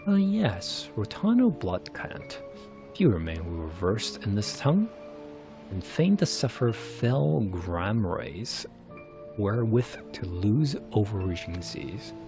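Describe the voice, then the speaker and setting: A man's voice: on the low side, very animated, takes it slowly, dark tone, soft background music, exaggerated voice. A man voicing a confident, courteous adventurer from a fantasy game, speaking in formal, archaic and theatrical phrases. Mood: suave, assured. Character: polished, confident, courteous adventurer seeking a wanted pirate. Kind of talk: narrated fantasy game dialogue.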